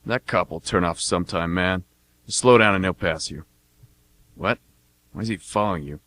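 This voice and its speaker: Man playing a guarded war veteran, very low voice, slangy and chummy, dry close sound, radio play. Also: moderate pace, a bit bright, no echo. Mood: edgy, impatient. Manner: laconic, slangy, conversational. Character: gruff male army veteran cab passenger, guardedly chummy. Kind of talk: amateur radio drama acting.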